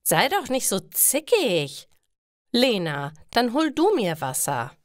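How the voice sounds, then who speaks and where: A woman acts out a young storybook girl with a raised, swooping pitch. She is bossy and fragile, emphatic and pleading in her delivery, recorded dry. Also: huge pitch swings, slow, dry recording, full character voice. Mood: bossy, demanding. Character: bossy young girl, later tearfully sorry.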